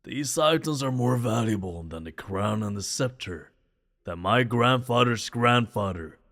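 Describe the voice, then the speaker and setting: A man playing a stately, grateful king in a fantasy audio drama, speaking formally and ceremoniously with a gravelly voice. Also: medium pitch, animated, a little slow, fairly bright tone. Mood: regal, insistent. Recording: long hall reverb.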